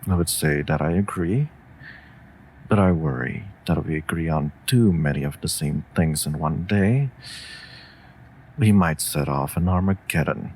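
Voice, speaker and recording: Wolf familiar, played by a man: stoic protector, slow drawl, deep register, dry humor, comfort audio. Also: darkish tone, husky, heavily acted. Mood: sleepy, wry. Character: stoic wolf familiar, gruff but tenderly protective of mage. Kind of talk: intimate comfort audio roleplay.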